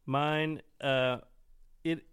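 A man's voice: fairly high pitch, fairly even intonation, extremely slow, fairly bright tone, smooth. A man co-hosting a podcast in a casual, conversational style. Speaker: podcast co-host. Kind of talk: casual chat.

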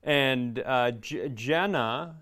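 Clear-voiced man bantering on a comedy podcast. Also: medium pitch, drawn-out words, a bit bright. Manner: self-deprecating, rambling. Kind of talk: casual comedic banter and announcements.

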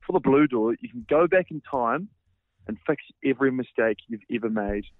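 A man's voice: mid-range voice, expressive, normal speed, no room sound. New Zealand man on a tinny phone line. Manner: breezy, friendly. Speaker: voicemail caller. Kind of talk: voicemail message.

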